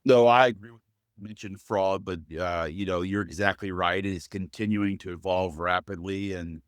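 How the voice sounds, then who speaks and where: A man with a deep, loud voice, speaking as a guest in an interview in an earnest, measured way and often addressing the host by name. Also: steady tone, clear, resonant tone, no echo, studio quality. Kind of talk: interview conversation.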